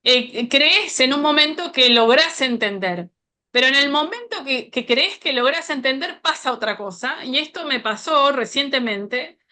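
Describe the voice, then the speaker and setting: A woman's voice: sits high, animated, unhurried, pushed and bright. A woman researcher reflecting earnestly on her work over a video call.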